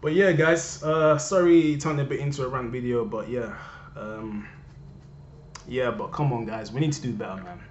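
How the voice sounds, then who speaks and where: A young man from Cameroon speaks straight to his viewers in an impassioned, repetitive home vlog. His voice sits at an ordinary pitch. It rings around a bare living room through a dull webcam mic. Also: normal speed, slight background noise. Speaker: vlogger. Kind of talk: earnest personal rant to camera. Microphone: webcam at desk. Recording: roomy, dull lo-fi webcam audio.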